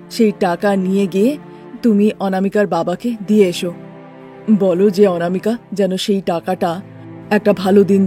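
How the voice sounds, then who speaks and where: A woman's voice: medium pitch, mellow, pitched below the performer's own voice, natural voice. A woman voicing an earnest young city son, entreating in a cartoon family story.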